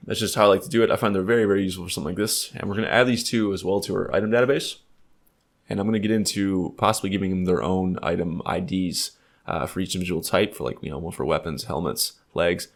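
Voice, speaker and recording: A man's voice: deep voice, creaky. A man with a stuffed-up, slightly nasal voice, explaining code casually in a tutorial, thinking aloud with lots of fillers. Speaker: game development tutor. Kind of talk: screen-recorded coding tutorial.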